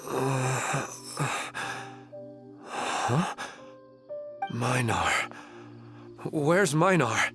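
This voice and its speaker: Dazed husband, video game character, slow delivery, earnest, hesitant, subdued, backing music. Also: male voice, mid-range voice, very animated, fairly bright tone, heavily acted. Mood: dreamy, anxious. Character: earnest, dazed husband haunted by dreams of his wife.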